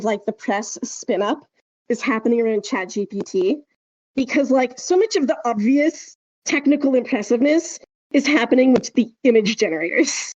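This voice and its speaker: A woman's voice: sits high, darkish tone, no echo, muffled recording. A woman chatting casually as a podcast co-host, wry, opinionated and emphatic in how she makes her points. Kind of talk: casual speculative podcast chat.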